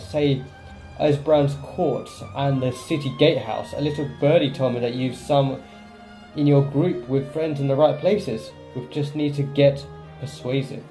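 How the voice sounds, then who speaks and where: A man's voice: mid-range voice, warm tone, poor audio. A man hosting a game playthrough, commenting casually and reading in-game text aloud. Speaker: gaming video host. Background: game music.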